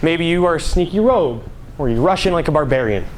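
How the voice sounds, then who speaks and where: Young man, student presenter. High-pitched, animated, conversational stage delivery with some noise behind. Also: fast talker, poor audio. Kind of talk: prepared live speech. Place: school stage. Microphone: clip-on mic. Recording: live room, background noise.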